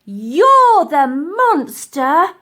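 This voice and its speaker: A woman's voice: sing-song, drawn-out words, pushed and bright, full character voice. A woman voicing a brave, indignant young girl who exclaims and protests, in a children's audiobook. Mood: furious. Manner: exclaiming, high-pitched protest. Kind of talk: children's audiobook character acting.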